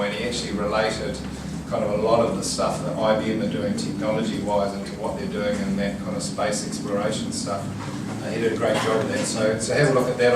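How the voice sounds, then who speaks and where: A man with a loud, projecting voice giving an informal corporate welcome talk. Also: fairly low pitch. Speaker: company general manager welcoming guests. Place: indoor event venue in an office building. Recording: echoey room, distant mic, noisy.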